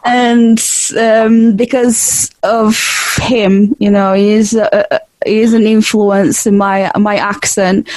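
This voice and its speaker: A woman's voice: medium pitch, little pitch movement, slow, darkish tone, no echo, low-quality recording. A woman in her thirties from Portugal with a Portuguese accent tinged by Yorkshire, hosting a casual podcast chat, talking chattily with frequent laughs and restarts. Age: 30s. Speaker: podcast host. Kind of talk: casual conversational interview.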